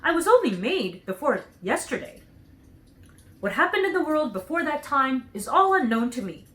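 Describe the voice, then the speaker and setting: A woman acts out a storybook scarecrow with a higher-pitched voice and lots of rise and fall. The character is naive, cheerful and earnest, telling things with clear emphasis. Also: sing-song, moderate pace, a bit bright.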